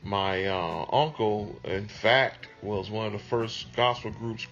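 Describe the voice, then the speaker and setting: Unhurried man. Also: fairly low pitch, fairly bright tone, highs cut off, soft background music. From Detroit, USA. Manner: unhurried, conversational, with many ums. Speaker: music producer interviewee. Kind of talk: reflective interview answers.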